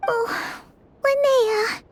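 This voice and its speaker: A woman's voice: extremely high pitch, fairly even intonation, extremely slow, a little hiss or hum, exaggerated voice. A woman voicing a small, childlike, inquisitive and frank female companion creature in a video game. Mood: concerned. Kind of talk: English video game voice acting.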